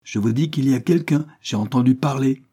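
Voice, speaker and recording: A man doing a raspy, shrill old spinster. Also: fairly quick, natural voice. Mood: scolding. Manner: sharp, scolding, imperious. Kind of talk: audiobook character voice.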